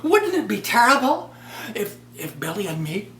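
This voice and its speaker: A woman telling a folk tale, voicing a fretful young daughter who wails in a high, rising voice about imagined danger, caught in a roomy, distant recording. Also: sing-song, normal speed, a bit bright. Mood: anxious wailing. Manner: wailing, rising, breaks into laughter. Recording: roomy, distant mic, faint hum.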